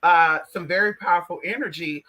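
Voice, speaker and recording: A man's voice: sits high, brisk, dull audio. An American man chatting casually to livestream viewers in a sassy, slangy, emphatic way, addressing listeners with pet names. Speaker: livestream host.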